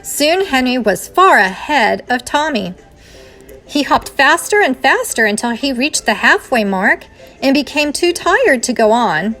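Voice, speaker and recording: A woman's voice: high-pitched, big pitch swings, a little slow, pushed and bright, soft background music. A woman reading a children's storybook aloud in the style of a teacher.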